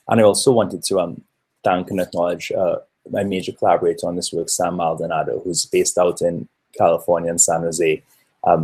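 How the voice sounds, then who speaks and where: A man's voice: deep voice, a little mellow, breathy edge, rough recording. A man in his thirties from Trinidad giving an earnest, explanatory conference presentation with occasional fillers. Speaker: researcher presenting a talk.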